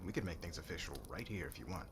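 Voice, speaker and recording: A man's voice: deep voice, animated, quick pace, noisy background. A man playing a cocky, flirtatious warrior commander in an ASMR roleplay, with a brash, teasing way of talking. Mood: smug, flirtatious. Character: cocky, flirtatious warrior commander, crude suitor turning contrite.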